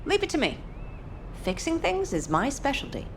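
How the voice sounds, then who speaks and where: A woman voicing a seasoned, caring former captain in a video game, gentle and earnest. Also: high voice, wildly swooping pitch, moderate pace, slight background noise, heavily acted. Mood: confident.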